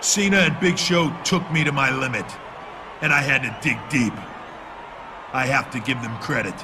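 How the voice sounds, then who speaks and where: A man's voice: mid-range voice, normal speed, lightly acted. A man playing a confident champion wrestler, giving a scripted acceptance speech with dry, self-assured humor. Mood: wry, proud. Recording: noisy game capture with background ambience.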